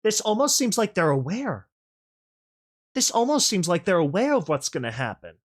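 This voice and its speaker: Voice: mid-range voice, sing-song, moderate pace. A narrator reading a story expressively and reacting with casual commentary, often repeating phrases for emphasis.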